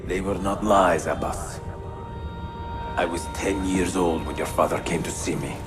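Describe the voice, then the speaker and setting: Very deep, slow, grave old man. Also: fairly even intonation, over music. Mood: solemn. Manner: slow, solemn, measured. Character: grave, sorrowful old master assassin. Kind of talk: video game cutscene acting.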